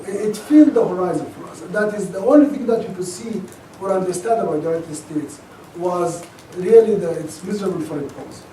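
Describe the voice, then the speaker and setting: A man from Sudan with a Sudanese accent, giving an academic lecture in a thoughtful, halting way full of restarts. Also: sits high, dark tone, a little hiss or hum. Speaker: guest lecturer. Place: lecture auditorium. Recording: echoey auditorium, mic at a distance.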